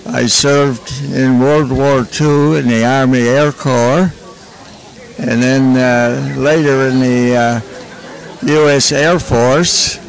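A man in his nineties giving a slow, halting statement as a veteran, speaking into a handheld mic at a busy airport gate. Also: medium pitch, poor audio. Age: 90s. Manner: slow and halting, many "uh" pauses. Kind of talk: short personal statement to camera. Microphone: handheld mic held close.

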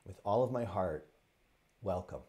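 Low-voiced man gently guiding meditation. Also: animated. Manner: gentle, unhurried, pausing between short phrases. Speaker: meditation teacher. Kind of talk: guided meditation.

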